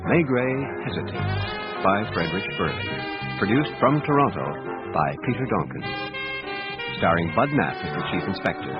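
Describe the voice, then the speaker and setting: A man's voice: deep voice, very animated, moderate pace. A man reading a scripted credits announcement as a radio announcer. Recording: muffled old radio recording, loud music.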